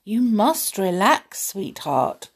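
A woman voicing a diminutive male king who speaks soothingly and coaxingly to his wife, with a slightly breathy voice. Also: mid-range voice, sing-song, slow, full character voice. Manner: sweet, coaxing.

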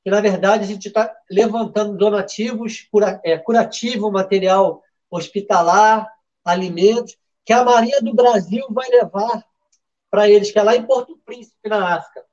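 A man's voice: sits high, moderate pace, darkish tone, muffled recording. A man hosting a football betting show in a casual, chatty way, often restarting his sentences. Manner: chatty, with many restarts and fillers. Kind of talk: casual live show talk.

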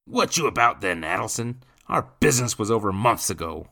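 A bellowing drunken lout, pitched up. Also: male voice, sing-song, moderate pace, creaky, sharp tone, breathy edge, exaggerated voice. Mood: surly growling. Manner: slurred drunken bellowing in uneducated dialect. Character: drunken, spiteful, belligerent widower, rough and mocking. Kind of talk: dramatised audiobook character voice.

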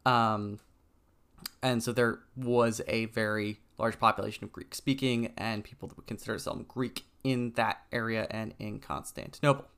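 A man hosting a solo podcast, explaining in a conversational way with frequent ums and pauses. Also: lowish voice, steady tone, vocal fry, a bit bright, smooth. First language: English. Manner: conversational, frequent ums, stumbles over foreign names. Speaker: podcast host. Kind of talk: solo educational podcast talk.

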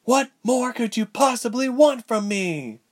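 A man's voice: high-pitched, sing-song, measured pace, pitched above the performer's own voice, full character voice. A man voicing a defiant, bitter young woman sorceress in a storybook, with a sarcastic, biting manner. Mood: furious.

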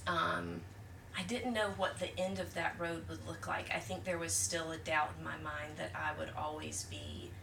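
Woman, low voice, earnest and reflective, far from mic, roomy sound with steady background noise. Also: creaky. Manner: earnest, thoughtful, with frequent pauses and restarts. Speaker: counselor guest. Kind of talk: reflective podcast interview.

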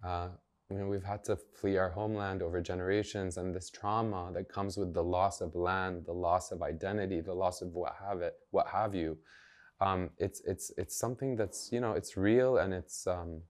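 A man with a low, deep voice talks through his views as an interview guest. He is earnest and emphatic, working out his thoughts aloud in a conversational way. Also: fairly even intonation, moderate pace, a little mellow. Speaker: journalist and activist guest.

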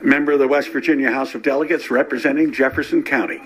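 A man's voice: mid-range voice, steady tone, fast talker, a bit bright, breathy edge, low-quality recording. An older man, a state legislator, answering interview questions in emphatic declarative statements.

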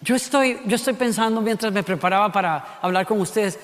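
A Costa Rican man with a high voice preaches a sermon. His delivery is animated, full of rhetorical questions and little acted-out voices. A long hall reverb trails after each phrase. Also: fairly quick. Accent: Costa Rican Spanish. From Costa Rica.

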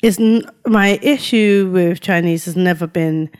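A woman's voice: fairly low pitch, a little slow, a little mellow, clear, resonant tone. A woman co-hosting a podcast in casual banter, using slang like a habit and explaining things with examples and asides. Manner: slangy, says fam and yeah often. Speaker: podcast co-host.

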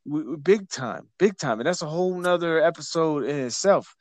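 A man's voice: a little high, lively intonation, moderate pace, dry recording. Blunt, cursing male podcast host. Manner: blunt, repetitive, profane. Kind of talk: casual podcast chat.

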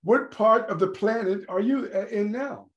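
A man's voice: high-pitched, moderate pace, muffled recording, a crowd in the background. A man hosting a podcast, asking friendly, rambling questions. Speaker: podcast host. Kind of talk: podcast interview.

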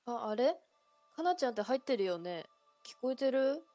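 A woman's voice: fairly high pitch, sing-song, normal speed, dark tone, no echo, faint music behind. A woman performing in an amateur radio drama as a young woman casually telling friends an urban legend. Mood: chatty.

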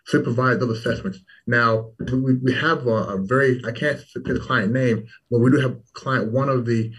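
A man's voice: mid-range voice, steady tone, fairly quick, a bit bright, slightly rough. Guy guest chatting away, repeats himself for emphasis, sounds kinda far from the mic. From Toronto, then Louisville, Kentucky and Washington DC. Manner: talkative, repeats words for emphasis. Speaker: startup founder as guest. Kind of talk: casual interview chat.

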